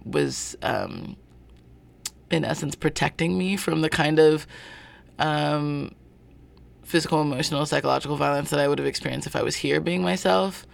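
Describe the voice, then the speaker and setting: A man being interviewed as a guest about his work, speaking in a thoughtful, searching way with many pauses and fillers. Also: on the high side, vocal fry. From born in North Carolina, family from Zimbabwe. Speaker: guest, performer of a show. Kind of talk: reflective interview talk.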